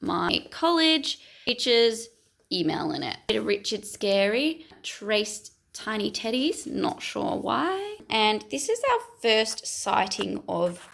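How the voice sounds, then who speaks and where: A woman, chatting casually and self-mockingly as an art vlogger while going a little hoarse. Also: a little high, very animated, takes it slowly, fairly bright tone. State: losing her voice. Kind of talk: casual vlog commentary.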